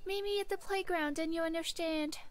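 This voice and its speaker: A man voicing a sad, depressed young woman from a visual novel in falsetto. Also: fairly even intonation, a little fast.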